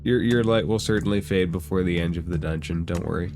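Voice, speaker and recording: A man's voice: sits low, fairly quick. A man acting as dungeon master, running a tabletop game with background music. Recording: loud music behind the voice. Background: loud background music.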